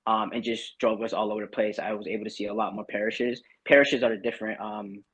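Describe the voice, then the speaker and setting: Relaxed young man from Barbados chatting. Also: fairly low pitch, quick pace, breathy edge, low-quality recording. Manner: relaxed, rambling with many fillers. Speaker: podcast guest. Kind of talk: casual chat.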